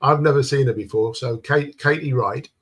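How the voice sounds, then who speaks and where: A man's voice: mid-range voice, normal speed, a loud crowd. A man hosting a casual live-stream chat, joking around and reading out viewer comments. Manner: jokey, banters and reads comments aloud.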